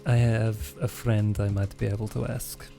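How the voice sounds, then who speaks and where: A man voicing a calculating, self-interested vampire in a tabletop roleplay, with a dry, deliberate delivery. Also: lowish voice, flat delivery, gravelly, over music. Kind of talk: actual play roleplay dialogue.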